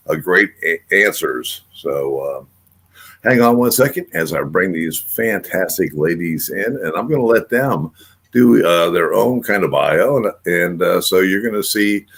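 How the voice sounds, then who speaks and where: Deep-voiced older man hosting a show. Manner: rambling, lots of uh fillers and restarts. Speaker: host. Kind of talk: casual radio show hosting.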